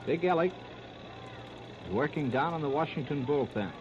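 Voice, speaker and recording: A muffled, noisy 1930s radio recording of an American man announcing a ball game. His voice sits fairly high, and he reports each move in short, terse phrases as a live play-by-play commentator. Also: lively intonation, moderate pace. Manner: clipped, terse running phrases. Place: ballpark broadcast.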